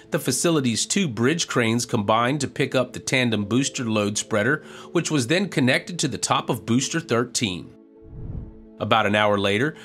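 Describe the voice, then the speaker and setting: A man reading a scripted news narration in an even, measured reporting tone as a voice-over. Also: mid-range voice.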